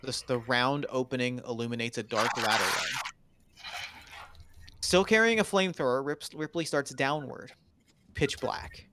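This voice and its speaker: A man's voice: medium pitch, moderate pace, pauses often, noisy background. A man narrating screenplay stage directions in dramatic, clipped phrases for a table read.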